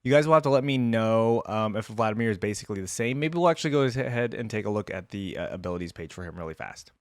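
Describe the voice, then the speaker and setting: A man's voice: on the low side, expressive, fast talker, no room sound, clean studio sound. A man giving casual reaction commentary as a host, chatty and informal, thinking aloud as he goes.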